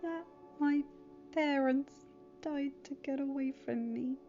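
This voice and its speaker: Bullied teen boy, voiced by a female reader, confiding and fragile, raised pitch, music underneath. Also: slow pace, dull audio. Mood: hurt, subdued. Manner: soft, hesitant, confiding. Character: hurt, vulnerable bullied teenage boy.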